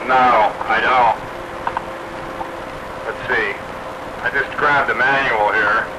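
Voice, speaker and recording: A man's voice: medium pitch, steady tone, takes it slowly. A man in a casual, technical chat as a radio hobbyist talking over two-way radio. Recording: very noisy two-way radio transmission.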